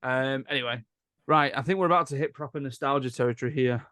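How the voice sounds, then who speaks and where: A man with a medium-pitched voice hosts a relaxed podcast chat. His sound is dry, with hardly any room on it, and his delivery is informal and conversational. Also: fast talker, no room sound. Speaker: podcast host. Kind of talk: casual chat.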